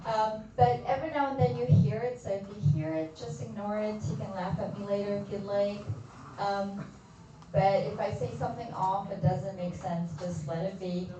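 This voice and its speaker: A woman's voice: medium pitch, moderate pace, dark tone, slight background noise. A woman from Poland with a Polish accent, a nurse practitioner giving a chatty health talk to a group in a community room. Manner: friendly, chatty, addresses the audience directly. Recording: distant roomy echo, slightly dull.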